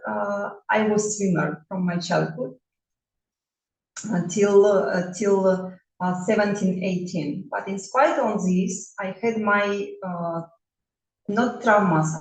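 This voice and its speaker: A woman in her forties from Russia, a bodywork therapist introducing herself in halting non-native English with a Russian accent, full of fillers and restarts. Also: medium pitch, slight background noise. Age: 40s. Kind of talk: self-introduction talk. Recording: roomy echo, mic at a distance.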